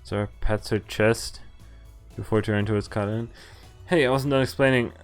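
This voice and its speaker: A man's voice: on the low side, steady tone, moderate pace, smooth, faint music behind. A male streamer reading a visual novel aloud, breaking off now and then with offhand comments. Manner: reads text aloud, breaking off with offhand asides; loud, exaggerated yelps when voicing pained lines.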